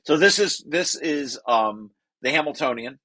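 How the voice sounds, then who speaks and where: A man's voice: fairly high pitch, expressive, unhurried, sharp tone, dry recording, poor audio. A man reading through a technical paper and explaining it informally, thinking aloud and correcting himself as he goes. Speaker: researcher presenting own paper.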